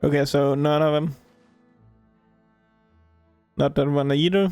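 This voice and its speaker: A man's voice: on the high side, level tone, slow, a little mellow, soft background music. A man giving casual, offhand commentary while playing a video game.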